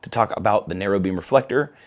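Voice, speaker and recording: A hoarse man with a scratchy throat gives a casual live demo of studio lighting gear, talking in a relaxed, chatty way with many fillers. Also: on the low side, fast. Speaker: company product presenter. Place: photo studio.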